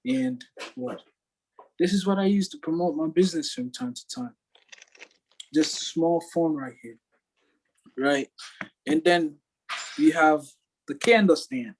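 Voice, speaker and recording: A young man with a fairly high voice that has a husky, slightly squeaky edge. He talks in a casual, slangy way and repeats phrases with animated energy. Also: a little slow, long pauses, low-quality recording. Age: young adult. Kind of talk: casual chat.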